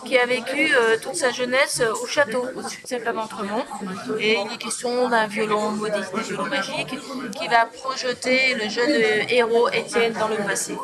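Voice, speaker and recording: A woman with a fairly high voice presents her novels like a storyteller, pausing on fillers as she goes. A loud crowd of book fair visitors surrounds her. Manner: lively storyteller with many fillers. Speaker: author.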